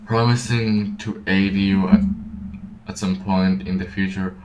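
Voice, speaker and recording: A man streaming a game, reading story text aloud haltingly and repeating words as he stumbles. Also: deep voice, steady tone. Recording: echoey room, distant mic, game music.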